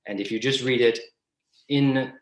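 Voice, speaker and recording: A man's voice: mid-range voice, measured pace. A man from the United States giving an explanatory seminar lecture over a video call in a conversational way. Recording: echoey room sound.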